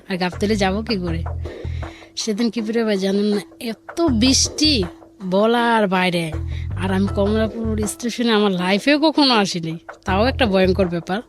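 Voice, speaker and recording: A woman's voice: mid-range voice, moderate pace. A woman with a Bangladeshi accent telling a personal story conversationally, quoting dialogue back and forth in colloquial speech. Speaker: caller or guest telling her life story. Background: music.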